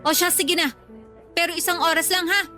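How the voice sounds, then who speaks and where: A woman's voice: very high-pitched, fairly even intonation, a little fast, sharp tone, soft background music. A woman playing a scolding, worried mother in a Tagalog radio drama, with a nagging, exasperated way of talking. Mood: exasperated, relenting. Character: scolding, worried mother of a hard-drinking young son.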